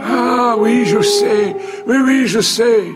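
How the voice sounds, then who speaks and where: A man playing a kindly, gently reproachful old sage in a fairy-tale cartoon, musing and repeating himself. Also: very high voice, fairly even intonation, slow pace, over loud music.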